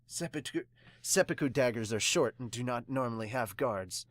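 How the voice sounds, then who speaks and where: A woman's voice: very deep voice, animated, normal speed, no echo, pitched below the performer's own voice. A woman voicing a haughty male magistrate with stern, pompous, archaic speech in a manga dub. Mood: stern, scornful.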